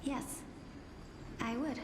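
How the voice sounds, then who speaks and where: An actress playing a poised young woman scholar in a video game, speaking in a measured, formal, theatrical manner. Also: background noise. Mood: solemn, resigned. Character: poised young woman scholar, playful yet solemnly resigned. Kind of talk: scripted dramatic game dialogue. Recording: echoey long reverb, music behind.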